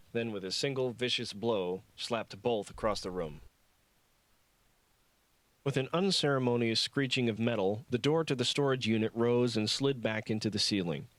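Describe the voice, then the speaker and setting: A man's voice: on the low side, fairly bright tone, dry recording. A man reading scripted fiction as an audiobook narrator.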